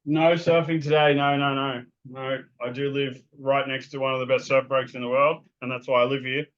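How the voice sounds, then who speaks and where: A man's voice: medium pitch, little pitch movement, normal speed, a bit bright, lo-fi sound. A man with an Australian accent, a guest telling his story in a loose, rambling way with lots of ums and restarts. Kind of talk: casual interview talk.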